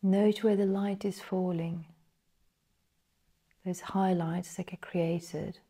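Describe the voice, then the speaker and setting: Soft, husky, slow-speaking woman meditation guide. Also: fairly low pitch, steady tone. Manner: soft, breathy, partly whispered delivery; slow, measured, pausing between phrases.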